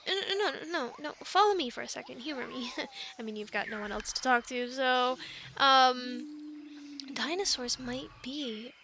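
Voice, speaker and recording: A woman's voice: high-pitched, normal speed, bright, forceful tone, slight background noise. A woman acting a chatty young island castaway who loves animals, in an intimate, rambling ASMR roleplay with hesitant, earnest explanations. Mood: musing, eager.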